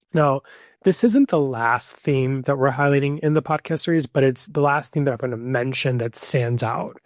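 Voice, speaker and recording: A man's voice: mid-range voice, no echo, clean studio sound. A young adult man, a native Spanish speaker, hosting a podcast alone and stressing his points earnestly with frequent repetition. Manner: earnest, emphatic, repeats key points. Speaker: podcast host. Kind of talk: solo podcast monologue.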